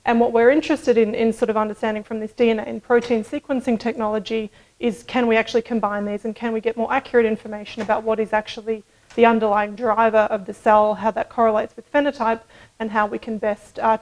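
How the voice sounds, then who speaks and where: A middle-aged woman giving a scientific conference talk at a podium microphone, explaining in a measured way with some hedging. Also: a little high, flat delivery, a little mellow, poor audio. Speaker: medical researcher presenting at a conference. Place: indoor conference room, at a lectern.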